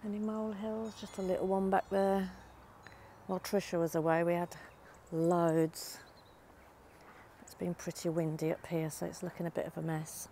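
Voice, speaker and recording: A middle-aged woman chatting casually while she works her vegetable plot outdoors, her voice a little croaky. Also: lowish voice, little pitch movement, moderate pace, mellow, slight background noise. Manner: chatty, down-to-earth, talks while working. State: slightly croaky. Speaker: allotment gardening vlogger. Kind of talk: casual chat to camera. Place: outdoors in allotment and back garden. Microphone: phone camera held while walking.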